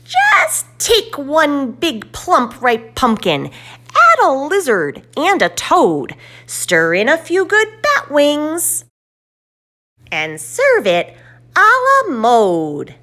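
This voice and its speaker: A woman voicing a kooky, kindhearted old witch from a storybook, with a theatrical, drawn-out delivery. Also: high voice, huge pitch swings, bright, forceful tone, pitched above the performer's own voice, heavily acted. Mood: gleeful. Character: kooky cackling old witch, kindhearted pumpkin pie baker.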